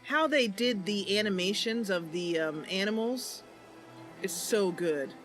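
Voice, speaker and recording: A woman's voice: mid-range voice, measured pace, fairly bright tone. Woman live streamer, casual, over faint music. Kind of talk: casual gameplay livestream narration.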